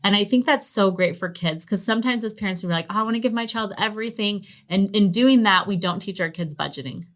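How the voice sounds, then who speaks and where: A middle-aged woman chatting casually as an interview guest, telling anecdotes in an animated way and acting out quoted remarks. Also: mid-range voice, quick pace. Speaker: podcast guest, homeschool language program founder. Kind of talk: casual conversational storytelling.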